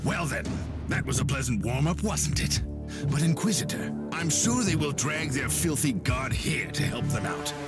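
A man acting a battle-hardened, sardonic space marine sergeant in a video game, gruff, wry and theatrical. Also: fairly high pitch, expressive, moderate pace, over loud music. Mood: wry, grave.

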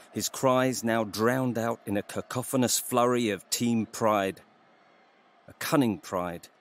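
A man narrating a literary story in a measured, scripted way. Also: fairly low pitch.

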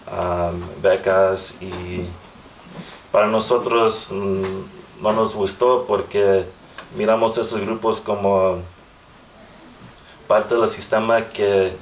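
A man in his thirties with an American accent, a native English speaker, giving an informal panel talk and haltingly searching for words. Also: fairly low pitch. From Southern California, United States. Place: small room with hard walls, indoors. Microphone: far camera microphone. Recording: distant mic, echoey room, faint noise.

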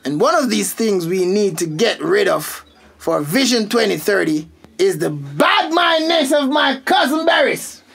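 A man's voice: high-pitched, a little slow, gravelly, a bit bright, slightly rough, full character voice. An actor playing a vain, argumentative Jamaican ladies' man in a radio soap, boasting emphatically. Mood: smug, indignant.

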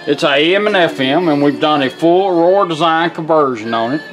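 Man, somewhat high pitch, folksy, plain-spoken, informal product demo, faint music behind. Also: brisk. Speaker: presenter, car radio restorer. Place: indoor workshop bench.

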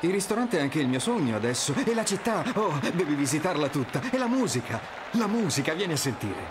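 A man playing an enthusiastic, devoted young prince in an animated game dub. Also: on the high side, a little fast, background noise. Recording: crowd and street ambience behind.

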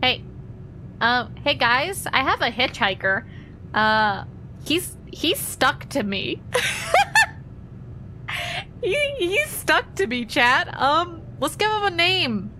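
A woman's voice: sits high, big pitch swings, slow pace, long pauses, noisy background. A young woman streaming a game, chatting playfully with her chat as she plays. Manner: playful, chatty, talks to chat while playing. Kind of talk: casual gameplay commentary to chat.

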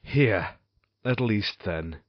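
A brooding, haunted husband with a violent temper, acted by a man, tells his horror tale in halting, archaic phrases. He takes his time, dramatic and theatrical. The recording is dry and somewhat dull. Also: fairly low pitch, animated, no room sound. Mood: brooding anguish. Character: tormented, violent-tempered married man, Gothic horror narrator. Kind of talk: theatrical Gothic audio drama monologue.

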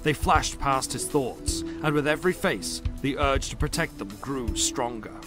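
A man's voice: medium pitch, expressive, a little slow. Male narrator over prominent music. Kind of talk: scripted dramatic narration.

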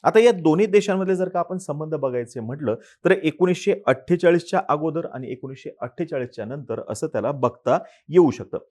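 A young man hosting a scripted news explainer, talking steadily with the odd filler pause. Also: a little high, lively intonation, darkish tone.

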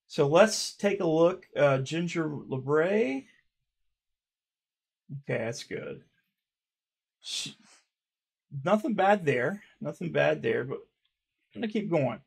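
Man, 30s, raspy, creaky, mid pitch, stutters, rambling reaction vlog. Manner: rambling, swears, repeats himself, admits he stutters. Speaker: YouTuber reading fan comments. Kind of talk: casual reaction commentary. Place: dark room at home.

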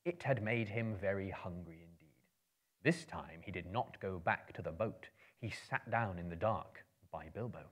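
A man in his thirties reading a story aloud dramatically, in a theatrical, expressive storyteller's manner. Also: sits low, normal speed. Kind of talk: dramatic book reading to a live audience. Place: indoor room with bookshelves, small live audience.

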